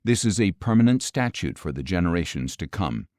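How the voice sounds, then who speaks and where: A man with a fairly low voice reads scripture as an audiobook narrator. His delivery is solemn and measured, with each phrase set out deliberately. The sound is very dry, with no room around the voice. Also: very animated, darkish tone, no echo.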